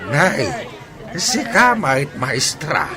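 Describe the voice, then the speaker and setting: A man's voice: on the high side, huge pitch swings, very slow, a crowd in the background, heavily acted. A man playing a plaintive old grandfather in a drama. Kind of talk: radio-style drama dialogue.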